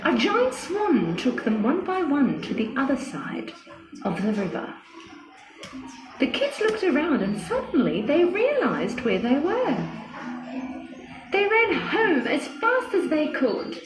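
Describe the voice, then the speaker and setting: A high-voiced woman tells a scripted children's fairy tale. Her delivery is expressive and very lively in pitch, and the recording is echoey with music playing underneath. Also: takes it slowly. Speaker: storyteller.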